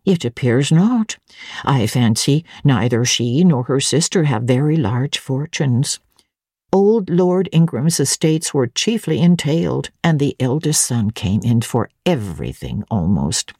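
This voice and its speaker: A woman voicing an elderly, genteel housekeeper in a classic audiobook, chatty and admiring as she savours each detail in a measured way. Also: extremely low pitch, very animated, dark tone, husky, no echo, studio quality, heavily acted. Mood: confiding, sceptical. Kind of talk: dramatic audiobook reading of classic literature.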